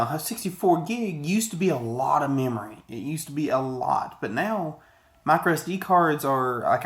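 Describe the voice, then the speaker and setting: A man in his thirties giving a casual, rambling, conversational product review to camera, often digressing. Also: fairly high pitch. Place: small indoor office, sitting at a desk.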